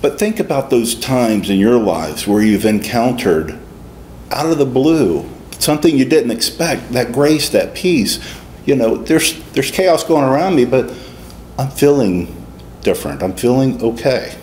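A man's voice: medium pitch, animated, a little slow, darkish tone. A middle-aged man, a deacon, preaching a homily in a conversational way with folksy asides, in a small stone-walled church. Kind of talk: sermon. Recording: echoey church with faint room noise.

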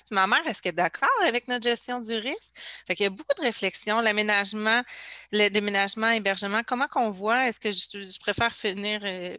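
A woman with a Quebec French accent presents her organisation's services in an informal, apologetic way. Her voice is bright and forward, at an average female pitch, picked up dry and close on a video call. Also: clean tone, no echo. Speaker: caregiver association representative presenting services. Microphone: computer or headset on video call.

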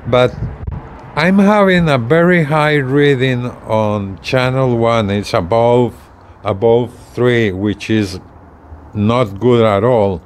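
A man in his sixties walks through a piece of equipment in a casual, plain-spoken way. He talks slowly, at a middling pitch, with halting phrasing. It is recorded outdoors on a balcony, with noticeable ambient noise behind him. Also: darkish tone. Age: 60s. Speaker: gadget reviewer. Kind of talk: casual product demonstration, explaining step by step.

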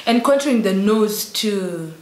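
A woman's voice: medium pitch, animated, a little slow, a little mellow, echoey room. A young woman from Zimbabwe chatting casually as a guest, easygoing. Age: young adult. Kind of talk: casual chat. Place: small room indoors.

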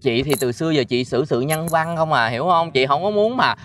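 A man's voice: fairly high pitch, a little fast, a bit bright, lightly acted. A man with a Southern Vietnamese accent playing an aggrieved, theatrical elder sister in a comedy skit.

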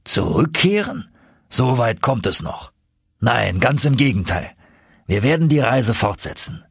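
A man voicing a confident, eager older professor for an audiobook, husky and emphatic, as if lecturing. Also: medium pitch, very animated, unhurried, dry recording, studio quality, heavily acted. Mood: determined.

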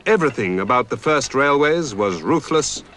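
A man giving measured, dramatic scripted documentary narration. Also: medium pitch, lively intonation, fairly bright tone, a little hiss or hum. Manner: measured, dramatic storytelling delivery.

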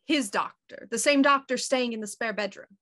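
An actress playing a courteous, probing police inspector, asking formal questions in a measured way in an audio drama. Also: female voice, fairly high pitch, fairly bright tone, natural voice.

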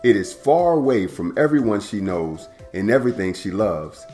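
A man in his thirties hosting a storytime, reading a children's picture book aloud indoors with a playful, animated delivery. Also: fairly low pitch, normal speed, soft background music. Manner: animated, playful, stretches words for young listeners. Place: indoor room at home.

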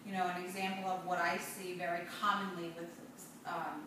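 Young woman cohost chatting n explaining casually, far from the mic, lots of echo and clear background noise. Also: on the low side, flat delivery, brisk. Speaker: salon talk show co-host, hair stylist. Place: indoor hair salon seating area. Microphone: far camera. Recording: distant mic, echoey room, noisy background.

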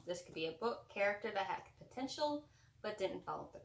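A woman's voice: medium pitch, very animated, a little fast, creaky. A young woman chatting casually about books as a co-host of a home video. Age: young adult. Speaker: book vlogger co-host. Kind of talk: casual chat about books, reading out tag prompts. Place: small room at home with bookshelves. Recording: roomy echo from camera distance.

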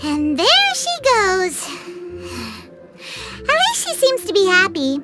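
A woman's voice: huge pitch swings, slow, over loud music, exaggerated voice. A woman voicing a tiny, chirpy, cheeky fairy girl in a video game. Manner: squeaky, exclaiming, refers to itself in third person.

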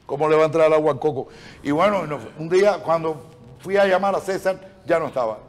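Man, middle-aged, somewhat high voice, joking talk show host, faint background noise. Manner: expressive, joking, quick exclamations.

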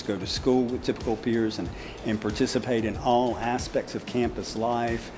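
A man's voice: medium pitch, noisy background. A man in his sixties, a teacher, speaking in a sit-down interview recorded indoors. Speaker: special education teacher and cafe program leader. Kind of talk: sit-down interview for a promotional video. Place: indoor room of a cafe. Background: music.

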